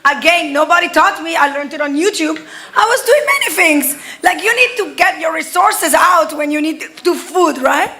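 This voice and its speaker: A woman in her forties from Italy with an Italian accent, giving a testimony sermon at a church service in lively storytelling fashion and laughing often. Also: extremely high pitch, normal speed. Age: 40s. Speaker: guest preacher.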